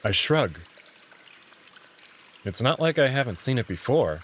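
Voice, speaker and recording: A man reading scripted, expressive first-person narration as the narrator of a visual novel. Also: medium pitch, a little slow, slight background noise.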